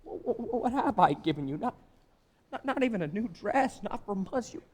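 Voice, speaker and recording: A stammering young clerk. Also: male voice, high-pitched, very animated, normal speed, darkish tone. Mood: tender. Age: young adult. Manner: stammering, repeats words. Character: earnest young male clerk, nervous and stammering. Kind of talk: live radio play acting. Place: theater stage.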